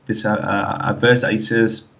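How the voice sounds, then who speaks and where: A man with a Spanish accent from the Canary Islands, a guest in a casual interview, speaking haltingly and filling pauses with "like, you know". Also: on the low side, level tone, vocal fry, fairly bright tone. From Canary Islands, Spain.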